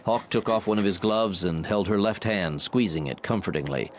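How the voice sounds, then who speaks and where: A man with a low voice narrates fiction as a scripted, dramatic audiobook. Clear ambient noise runs behind him. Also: normal speed.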